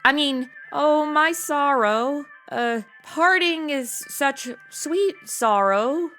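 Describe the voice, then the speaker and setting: A woman's voice: high-pitched, drawn-out words, music in the background. A woman playing a flippant, impatient young female sleuth, sarcastic and slangy, in a comedy audio drama. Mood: fake earnest.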